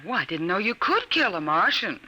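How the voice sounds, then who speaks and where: Bright, lively 1950s radio mother. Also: mid-range voice, brisk. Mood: amused. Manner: indulgent, lightly teasing, motherly. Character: indulgent, gently amused suburban mother and wife. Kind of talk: 1950s radio drama acting. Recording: noisy vintage 1950 radio recording.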